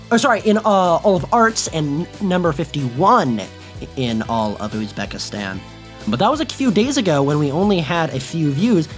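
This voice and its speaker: American dude co-hosting a podcast, very animated voice, chatty, little music behind. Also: male voice, high-pitched. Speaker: podcast host. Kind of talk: casual chat.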